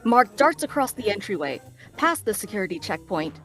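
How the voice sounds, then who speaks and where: Lively woman narrator reading stage directions. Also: mid-range voice, normal speed, soft background music. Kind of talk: scripted narration at a table read.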